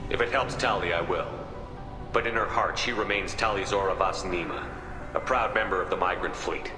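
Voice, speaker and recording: An actor playing a steady, resolute human starship captain in a video game, firm and diplomatic. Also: male voice, deep voice, moderate pace, close to an everyday voice. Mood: firm, composed. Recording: echoey hall, music behind.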